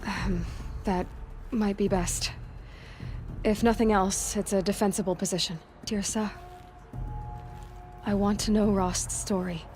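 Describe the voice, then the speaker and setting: Young woman warrior, acted by a woman, plainspoken, determined, reluctant leader, medium pitch, fantasy game, clear musical backing. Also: fairly even intonation, a little slow, pauses often, a little mellow, lightly acted. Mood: subdued. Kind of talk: dramatic video game acting. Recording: music behind the voice.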